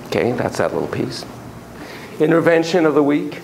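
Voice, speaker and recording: An older man giving a chatty, animated university lecture in a classroom, heard from a camera at the back of the room. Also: fairly high pitch, moderate pace, vocal fry, background noise. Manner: animated, chatty, quoting clients in a raised voice. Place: classroom with students. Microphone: far camera at the back of the room.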